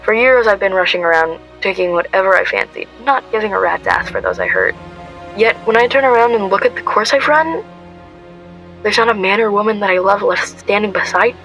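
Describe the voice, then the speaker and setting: A woman's voice: medium pitch, lively intonation, a little fast, fairly bright tone, low-quality recording. A woman playing a defiant, haunted young woman on the run, telling her story earnestly in a radio drama. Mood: weary resolve. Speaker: lead actor and narrator in an amateur radio drama. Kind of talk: first-person dramatic narration and radio drama acting. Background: music.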